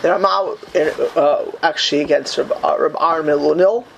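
A man's voice: on the high side, moderate pace, gravelly, fairly bright tone, muffled recording, slight background noise. A man teaching a Talmud class in a conversational way. He keeps checking in with his students and acts out the people he quotes.

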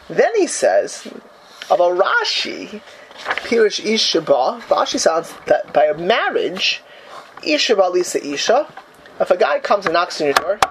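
A man's voice: high voice, a little hiss or hum, low-quality recording. A man teaching a Talmud class in a conversational way. He keeps checking in with his students and acts out the people he quotes.

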